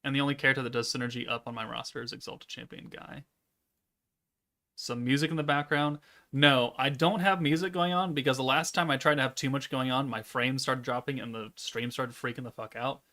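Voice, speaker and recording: A man in his thirties streaming a game from home, chatting casually and reading chat aloud in a monotone, deadpan way. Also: medium pitch, brisk. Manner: monotone, laid-back, deadpan. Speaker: gaming streamer.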